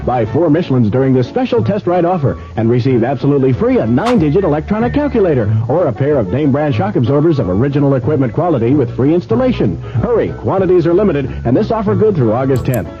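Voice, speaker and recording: A man delivering an upbeat sales pitch as a 1970s local radio commercial announcer. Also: sing-song, fast, hardly any pauses. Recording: dull lo-fi radio recording. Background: music.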